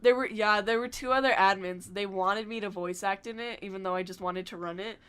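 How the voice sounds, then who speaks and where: A woman's voice: medium pitch, fairly even intonation, brisk, a bit bright, clear, resonant tone. A woman guest on a podcast, telling stories in casual, slangy, joking talk. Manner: slangy, jokey storytelling. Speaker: podcast guest, dubbing group organiser. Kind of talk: casual chat.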